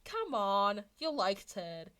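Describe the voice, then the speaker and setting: A woman voicing a loud, playful, teasing male hero in a fan fiction reading. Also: medium pitch, sing-song, slow. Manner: bold, exclaiming, teasing.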